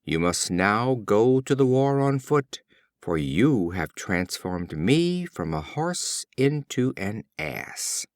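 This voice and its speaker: A man's voice: fairly low pitch, big pitch swings, slow, dry recording, clean studio sound. A man voicing a worn-out war horse, weary and reproachful, in a storybook fable.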